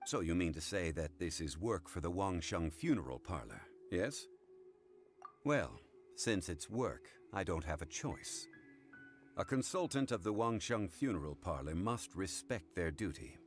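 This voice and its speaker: An actor playing a composed, scholarly gentleman consultant in an anime game, speaking formally and with measured gravity. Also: sits low, sing-song, soft background music. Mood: courteous, calm.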